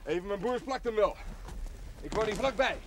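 An actor playing a blunt, helpful young village farmer in a television drama, talking colloquially. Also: male voice, high-pitched, animated, moderate pace, background noise. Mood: blunt, friendly. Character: plain-spoken, helpful young village farmer with milk cart.

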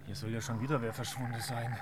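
An actor in a radio play voicing a nervous, crude young man out in a dark marsh. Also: mid-range voice, level tone, fast talker, close to an everyday voice. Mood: uneasy. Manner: jumpy, crude, uneasy. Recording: outdoor noise.

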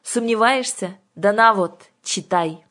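A woman's voice: medium pitch, takes it slowly, fairly bright tone, pitched above the performer's own voice. Audiobook witch fortune-teller played by a female reader: bossy, sly, brusque and theatrical, music behind. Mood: brisk, scornful. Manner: brusque, mysterious, colloquial.

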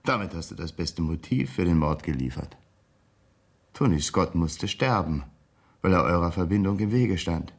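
A radio-drama blackmailer, a cold ex-convict with a low, husky voice. He presses his threats in a measured, insistent way, menacing and sure of his hold. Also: lively intonation, full character voice. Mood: cold threatening.

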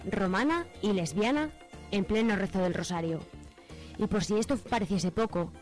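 A woman's voice: mid-range voice, a little mellow. A woman with an accent from Spain narrates a scripted radio drama in a mock-solemn storytelling manner. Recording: light music bed.

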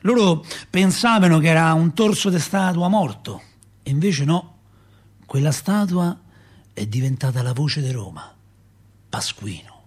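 A man's voice: fairly high pitch, measured pace, husky. An actor playing a chatty Roman father who tells city tales in a folksy, rambling way, with a Roman accent. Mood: wry storytelling. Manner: animated, folksy, rambling, rhetorical. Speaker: actor in a radio theatre monologue. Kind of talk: colloquial dramatic storytelling.

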